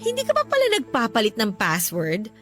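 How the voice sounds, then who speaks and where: High-voiced, seductive scheming younger sister. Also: female voice, wildly swooping pitch, a little fast, fairly bright tone, soft background music. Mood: smug, mocking. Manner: teasing, brazen, provocative. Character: seductive, scheming younger sister taunting her brother-in-law. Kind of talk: Filipino radio drama acting.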